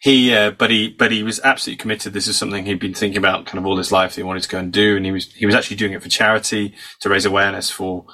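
A man's voice: on the low side, bright, forceful tone. A man from the United Kingdom, a psychology professor and author, telling stories fluently as a guest in an interview, slightly losing his voice from a cold. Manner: fluent storytelling, explanatory. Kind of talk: conversational podcast interview.

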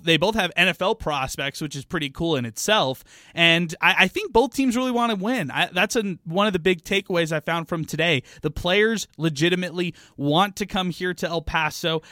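A man's voice: sits high, brisk, bright, forceful tone, dry recording. A man co-hosting a studio sports talk show, speaking enthusiastically and at length with many fillers. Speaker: sports talk co-host and reporter. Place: radio studio.

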